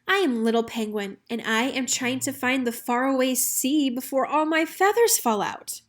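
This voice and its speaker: Storybook penguin chick, played by a woman reader: small, eager, easily worried, high-pitched and plaintive. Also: moderate pace, lightly acted. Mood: proud, earnest. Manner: peeping, plaintive, earnest. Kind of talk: children's storybook read-aloud.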